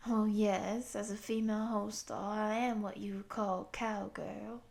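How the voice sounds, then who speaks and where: Woman playing a gentle, folksy cowgirl monster, gravelly edge. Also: medium pitch, level tone, unhurried. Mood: reassuring, friendly. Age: 30s. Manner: soft, apologetic, affectionate, folksy. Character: tall, gentle, friendly cowgirl monster of thirty-six. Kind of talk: intimate roleplay addressed to the listener.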